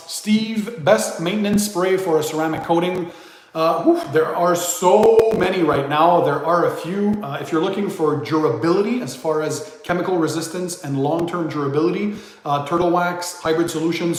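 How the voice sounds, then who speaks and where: A man's voice: a little high, noisy background. A man casually hosting a live stream, reading out viewer questions and thanking donors as he chats. English is not his first language. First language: not English; Greek is his third language. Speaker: livestream host. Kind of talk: casual livestream chat. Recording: very echoey room.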